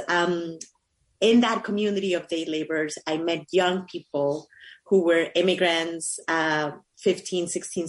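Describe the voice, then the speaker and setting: A middle-aged woman from Colombia, a political candidate speaking earnestly and reflectively as a radio interview guest. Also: fairly low pitch. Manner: earnest, reflective, with many fillers.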